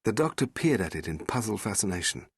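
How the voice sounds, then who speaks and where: A man's voice: fairly low pitch, sing-song, fast talker, slightly rough, no room sound, studio quality. A man reading an audiobook in a dramatic, suspenseful storytelling style. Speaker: audiobook narrator. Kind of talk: dramatic scripted narration.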